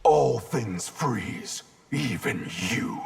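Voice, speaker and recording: An icy ninja warrior from a fighting game, extremely gravelly and slow. The menace is measured but the pitch moves in bold swings. There is clear noise behind him. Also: male voice, lively intonation, exaggerated voice. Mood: cold menace. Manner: measured, threatening. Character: grim, icy male ninja warrior, coldly ambitious. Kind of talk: video game fight intro acting.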